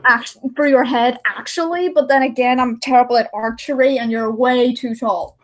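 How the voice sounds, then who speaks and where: Young female streamer, high-pitched, giggly, informal and rambling. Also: fairly even intonation, moderate pace, low-quality recording. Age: young adult. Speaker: livestream host and video editor. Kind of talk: casual livestream chat.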